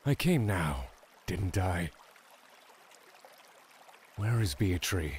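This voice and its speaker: A man's voice: low-pitched, animated, very slow, darkish tone, slight background noise, heavily acted. An actor voicing a brooding, irritable male god of the void, curt, dry and sardonic, in an audio drama. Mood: irritated, dry.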